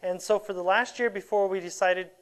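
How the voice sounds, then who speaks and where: A woman's voice: mid-range voice, fairly quick. A woman sharing mission experiences in an easy storytelling manner. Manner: storytelling, animated when quoting. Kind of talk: casual chat.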